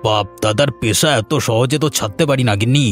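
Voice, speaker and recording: A man's voice: mid-range voice, fast talker, natural voice. A man playing an anxious, placating poor farmer husband in a cartoon, speaking in a pleading, plaintive way. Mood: worried, placating. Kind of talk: cartoon voice acting. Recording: music behind the voice.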